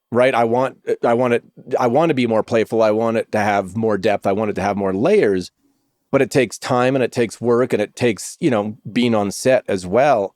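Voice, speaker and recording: A middle-aged man, an actor, telling stories on a podcast in an animated way and swearing freely. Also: lowish voice, dry recording, clean studio sound. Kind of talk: casual podcast chat.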